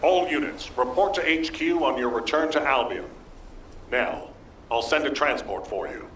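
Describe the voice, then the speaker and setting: A man playing a brisk commander issuing orders in a video game. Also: mid-range voice, expressive, fairly bright tone, reverberant, far from the mic, a little hiss or hum, close to an everyday voice, low-quality recording. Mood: commanding.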